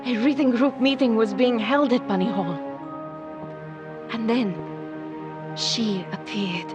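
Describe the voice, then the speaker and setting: An actress playing a wistful girl from France who tells of her lost friend in a measured, literary way, for an animated game. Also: on the high side, highs cut off, over music. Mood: stirred. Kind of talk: dramatic storytelling in an animated game cutscene.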